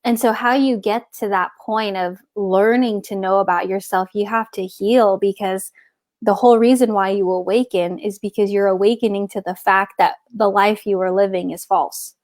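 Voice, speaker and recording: Middle-aged woman guest, casual and meandering, mid pitch, dry close recording. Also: steady tone, normal speed, a little mellow, dry recording. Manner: rambling, searching for words with many restarts. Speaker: guest author. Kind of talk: casual spiritual conversation.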